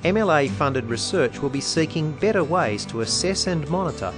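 Male voice-over, measured read, music bed underneath. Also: on the high side, animated. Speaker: documentary narrator. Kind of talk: scripted narration.

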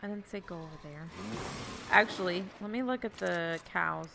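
Young woman streamer on headset mic, chatty and giggly, bright tone with gravelly fry. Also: fairly low pitch, normal speed, slight background noise. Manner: chatty, giggly, thinking aloud. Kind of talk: casual gameplay chat. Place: home room indoors.

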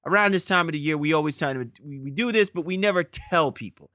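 A man's voice: a little high, fast, bright, forceful tone, no room sound, studio quality. A man co-hosting a sports podcast, giving emphatic, long-winded opinions in a discussion. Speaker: podcast co-host.

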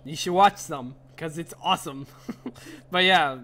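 A young adult man co-hosting a gaming podcast with casual, slangy, excitable banter over a headset. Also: on the high side, slow pace. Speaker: podcast co-host and game streamer. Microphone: headset, online call. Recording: faint background noise.

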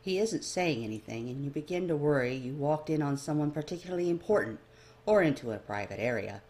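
A woman's voice: low-pitched, animated, fairly quick, warm tone. A woman reading fiction aloud as scripted audiobook narration. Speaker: fan fiction reader.

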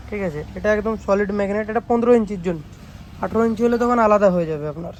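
A man's voice: high voice, a little hiss or hum. A man giving a casual product demonstration for a vlog, with informal sales patter and frequent check-in tags. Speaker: speaker repair shop owner presenting products. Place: small electronics repair shop.

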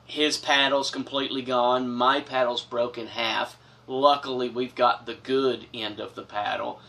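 A man's voice: medium pitch, a little slow, distant mic. A middle-aged man, telling a personal story to the camera in an earnest, dramatic way from a small wood-paneled room. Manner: earnest, dramatic, expressive with big gestures. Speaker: storyteller host. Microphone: camera from a few feet away.

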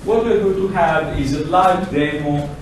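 A middle-aged man with a heavy Italian accent, speaking a little higher than most men, lectures with animated energy. He is caught by a distant camera, so his voice rings around a big, reverberant room with a faint hum beneath it. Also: a little slow. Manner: animated, gesturing, acts out examples in quoted voices. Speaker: lecturer presenting a project. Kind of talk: lively technical presentation. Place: large lecture room, indoors. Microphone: far camera, no mic. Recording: echoey room from far off.

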